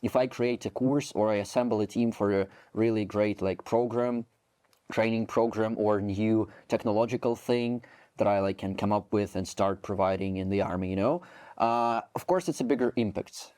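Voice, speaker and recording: Ukrainian guy in his 20s, rambly, lots of "like", mellow voice, dry sound. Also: on the low side, steady tone, normal speed, dry recording. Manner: rambling, heavy with "like" and restarts. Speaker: soldier guest. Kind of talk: interview conversation.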